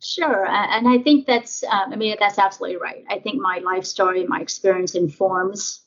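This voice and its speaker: A husky woman with a gravelly, fry-laden voice, telling her life story in an interview. She talks in halting, reflective bursts full of fillers, and the recording sounds dull and lo-fi. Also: medium pitch, fairly even intonation. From Vietnam, raised in Nebraska. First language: English; Vietnamese basic. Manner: halting, many fillers and restarts. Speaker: interview guest. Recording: dull lo-fi audio.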